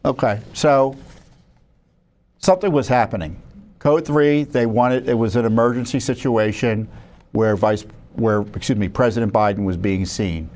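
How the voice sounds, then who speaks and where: A man's voice: medium pitch, normal speed, darkish tone. An American man presenting news commentary as a spokesman, part reading and part ad-libbing, often stumbling and correcting himself conversationally. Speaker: watchdog group spokesman presenting records. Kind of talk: news commentary to camera, part read out, part ad-libbed.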